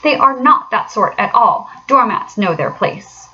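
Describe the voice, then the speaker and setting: A woman's voice: a little high, animated, measured pace, dull audio, voice pushed up. A woman voicing a miserable, grumbling male mole in a storybook, with plaintive and sarcastic delivery. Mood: pettish, sarcastic.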